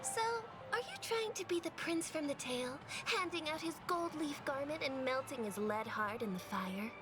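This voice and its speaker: A candid investigative journalist from a video game. She sounds worldly and conversational, with a slightly raised pitch over clear background music. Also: expressive, normal speed. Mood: curious, guarded. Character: candid, worldly female investigative reporter, guarded but chatty.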